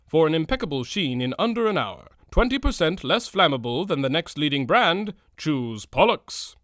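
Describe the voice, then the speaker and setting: Bright, swooping vintage radio announcer. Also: male voice, fairly high pitch, very animated, normal speed, no room sound, clean studio sound. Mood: grand, peppy. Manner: grandiose, salesmanlike. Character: polished, peppy male 1920s radio announcer. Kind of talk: old-time radio sponsor announcing.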